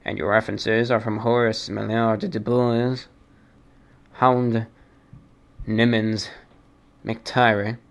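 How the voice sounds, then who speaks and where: A man hosting a fan mail show, talking off the cuff and reading aloud in a deliberate, measured, slightly formal way. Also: lowish voice, little pitch movement, gravelly. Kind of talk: unscripted talk, reading and answering listener mail.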